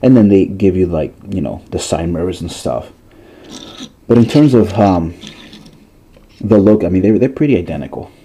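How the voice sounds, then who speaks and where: A man's voice: low voice, animated, moderate pace, long pauses, dark tone. A man with a stuffy nose showing his toy car collection at home in a rambling, chatty way, full of fillers and restarts. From Massachusetts, USA. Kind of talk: casual vlog.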